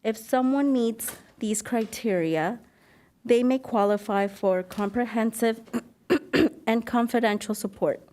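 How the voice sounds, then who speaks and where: A woman getting over a cold, an elder abuse advocate giving an earnest prepared presentation at a podium mic in a council chamber, clearing her throat now and then. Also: fairly high pitch, takes it slowly, mellow. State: recovering from a cold. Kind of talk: formal presentation to a commission.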